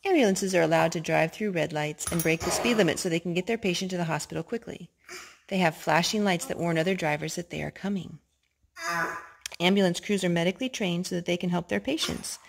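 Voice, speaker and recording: A woman reading children's picture books aloud in a gentle, animated storytime voice, stopping to talk with the children. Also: fairly low pitch, brisk, creaky. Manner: gentle, animated read-aloud voice, talking to the children between pages. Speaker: storytime reader.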